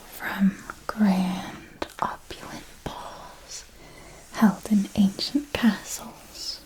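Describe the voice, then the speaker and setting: A woman playing an elegant, seductive vampire mentor in an ASMR roleplay, speaking in a soft breathy whisper with formal, deliberate, intimate phrasing. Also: medium pitch, rough recording. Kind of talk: ASMR roleplay, scripted monologue.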